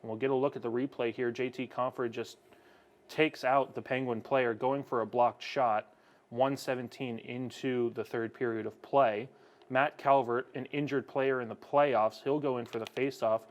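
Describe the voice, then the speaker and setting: A man's voice: mid-range voice, fairly even intonation, normal speed. A young man who broadcasts hockey, chatting while gaming and then calling the action play-by-play.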